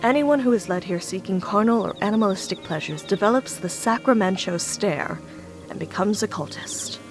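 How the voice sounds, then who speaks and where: A woman playing an ancient goddess of dark woods in a visual novel, speaking in a formal, measured, sorrowful way. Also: medium pitch, natural voice. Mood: solemn. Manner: solemn, formal, measured speech. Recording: background music.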